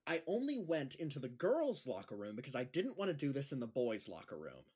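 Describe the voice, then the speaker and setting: Awkward high school gym teacher played by a man, audio drama, raspy edge, very animated intonation, flustered and hesitant. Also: fairly quick. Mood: flustered, embarrassed. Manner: flustered, hesitant, over-explaining. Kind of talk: naturalistic audio drama acting.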